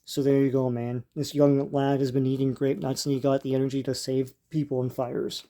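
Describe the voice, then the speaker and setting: An older man giving casual, unscripted show-and-tell commentary as a host, in a halting, musing and informal way. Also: medium pitch, level tone, dark tone. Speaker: host leafing through vintage comic strips.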